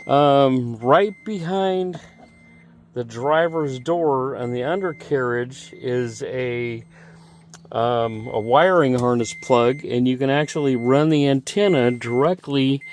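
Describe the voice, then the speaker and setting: A man's voice: medium pitch, a little hiss or hum. An American man in his sixties giving a casual, unscripted product review in a rambling, folksy, plain-spoken way. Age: 60s.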